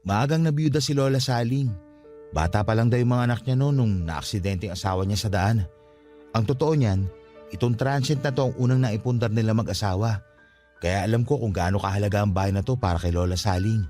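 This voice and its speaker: A Filipino man acting in a radio drama as a calm, respectful young caretaker of a haunted guesthouse, speaking politely and reassuringly. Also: on the low side, brisk, soft background music, natural voice. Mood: reflective.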